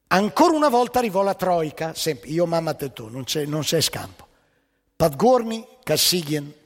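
Male stage storyteller, satirical monologue, raised pitch, husky, dramatic pauses, long hall reverb. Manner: dramatic pauses and emphatic delivery. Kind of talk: theatrical satirical monologue with anecdotes.

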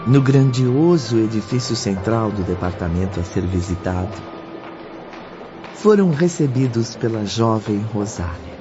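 A man narrating a radio drama as a solemn storyteller, with measured, literary delivery. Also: fairly low pitch, animated. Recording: dull audio with music bed.

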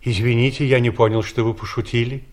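Man acting a mild old detective, earnest, middling pitch, meek subordinate, radio play. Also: fairly even intonation, fast talker, natural voice. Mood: meek, puzzled. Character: meek, earnest sixty-year-old male investigator.

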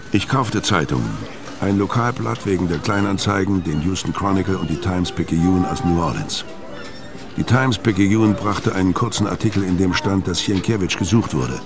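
Brooding killer narrator, very deep voice. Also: male voice. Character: brooding hard-drinking male fugitive killer narrating his story. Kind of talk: scripted first-person crime radio play narration.

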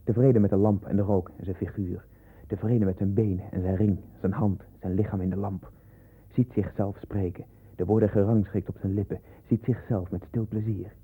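An actor playing a brooding, watchful man, speaking a radio play monologue in clipped, incantatory phrases with a husky voice. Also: lowish voice, steady tone, normal speed. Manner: clipped, incantatory listing of observations. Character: brooding man observing another in obsessive detail. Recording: tinny, narrow 1960s radio recording.

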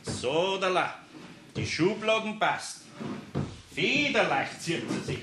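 An older actor playing a dry, grumbling master carpenter in a radio play. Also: male voice, high voice, animated, slow pace, echoey room, noisy background. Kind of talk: 1960s radio play acting.

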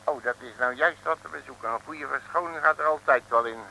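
A man's voice: medium pitch, brisk, vocal fry, sharp tone, a little hiss or hum, poor audio. A man in an old radio play playing a cocky, chatty, streetwise detective. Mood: jaunty. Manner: folksy, boastful, colloquial. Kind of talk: 1960s radio play acting.

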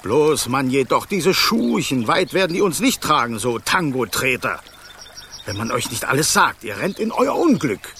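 An actor playing a bossy, grumpy but caring golden snake guide in a radio play, brusque and scolding. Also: male voice, medium pitch, sing-song, moderate pace, bright, forceful tone, slight background noise. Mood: fussy, amused. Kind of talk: German radio play acting.

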